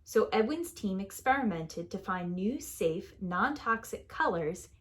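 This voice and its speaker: A woman reading a picture book aloud like a preschool teacher, in a deliberate, expressive storytelling manner that leans on key words. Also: medium pitch. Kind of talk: children's story read-aloud.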